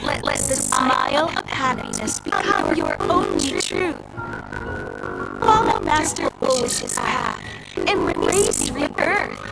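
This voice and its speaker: A zealous happiness preacher, super slow and creaky, solemn, game scene with music. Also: female voice, on the high side, wildly swooping pitch, full character voice, low-quality recording. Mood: blissful zeal. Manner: solemn, exhorting. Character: zealous woman cult preacher of blissful happiness. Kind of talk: video game voice acting. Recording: music behind the voice.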